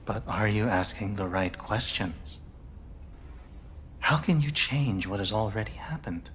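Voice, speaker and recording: A man playing an intimate, enigmatic pleasure companion in a video game, speaking in a soft, probing way. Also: lowish voice, lively intonation, a little slow, slight background noise. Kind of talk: scripted video game acting. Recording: faint music behind.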